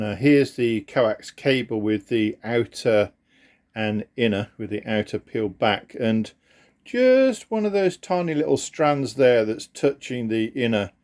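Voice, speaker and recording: A man giving an informal how-to explanation as a vlogger, talking through it step by step in a halting, hesitant way. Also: fairly low pitch, darkish tone, no room sound. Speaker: sailing vlogger.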